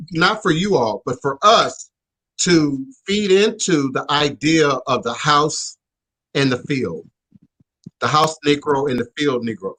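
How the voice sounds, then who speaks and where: A man's voice: a little high, fairly bright tone, poor audio. A man hosting a radio show, talking reflectively in casual chat with frequent stammered restarts and "you know".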